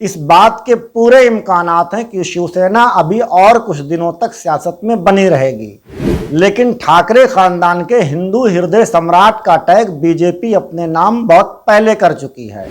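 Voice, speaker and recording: A man delivering scripted political commentary in a formal, rhetorical, pointed manner. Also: sits high. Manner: rhetorical, pointed questioning, formal Urdu-laced wording. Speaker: political commentary channel host.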